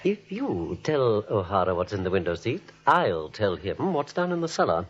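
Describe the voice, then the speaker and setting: An actor playing a proud, menacing middle-aged killer in a theatrical 1940s radio style. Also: male voice, on the low side, sing-song, normal speed, husky. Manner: theatrical, threatening. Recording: muffled old radio recording.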